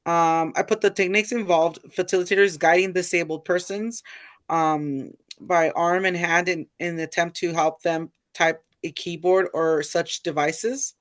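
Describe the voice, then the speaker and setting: A young college woman reading questions aloud and answering haltingly, with many "uh"s and "you know"s. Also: lowish voice, fairly even intonation, dry recording. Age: young adult. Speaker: college student.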